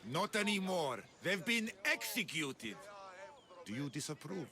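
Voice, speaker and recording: An actor playing a ruthless, proud Spartan general in a video game, speaking in a boastful, curt, imperious way. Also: male voice, a little high, big pitch swings, a little slow, a crowd in the background. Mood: stern, confident. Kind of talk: scripted video game acting.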